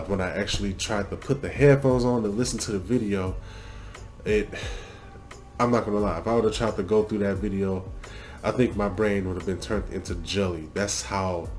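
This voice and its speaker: A man hosting a reaction video in loose, rambling casual talk full of fillers and swearing, stuffed up from a cold. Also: deep voice. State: congested from a cold.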